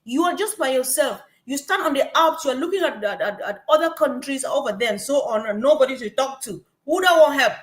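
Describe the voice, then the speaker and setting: A woman from Africa, speaking at a gathering in an animated way, repeating herself and laughing between points. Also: sits high, fairly quick, few pauses. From West Africa. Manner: animated, repetitive, laughing asides. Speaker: guest speaker. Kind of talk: passionate testimony at a gathering. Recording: echoey room.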